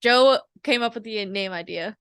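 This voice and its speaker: Young woman co-host, giggly and relaxed, bright tone, slightly high pitch. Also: normal speed, smooth, no room sound, clean studio sound. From South Korea. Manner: giggly, laid-back, swears casually. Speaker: podcast co-host. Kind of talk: casual chat.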